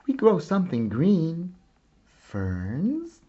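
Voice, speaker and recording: Guy reading a kids book out loud, really slow, bit husky, slightly muffled recording. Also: fairly high pitch. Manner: deliberate, expressive phrasing with pauses. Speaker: teacher reading a picture book aloud. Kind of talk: slow children's storybook read-aloud.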